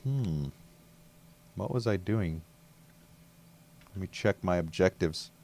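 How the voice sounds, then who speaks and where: A man's voice: deep voice, slow, a little mellow, a little hiss or hum. A man casually commenting while playing a video game, laid-back and muttering to himself. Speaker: let's play host.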